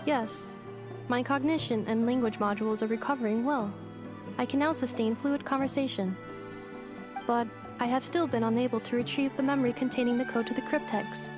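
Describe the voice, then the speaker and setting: A composed female android, voiced by a woman in a video game, speaking in exact, formal phrases with a slightly high voice. Her manner stays literal and polite. Game music plays clearly behind her. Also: fairly quick, close to an everyday voice. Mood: inquisitive. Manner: flat, precise, formal machine-like phrasing. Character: female android, calm literal-minded robot. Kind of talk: game voice acting. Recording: game dialogue over music. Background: game score music.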